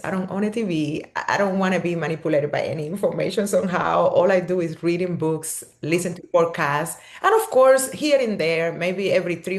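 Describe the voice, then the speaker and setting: Frau mit kubanisch-spanischem Akzent, sehr tiefe Stimme, lebhafte Erzählerin im Podcast-Interview. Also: female voice, on the low side. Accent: Cuban Spanish. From Cuba. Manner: expressive, animated storyteller who laughs often. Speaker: guest.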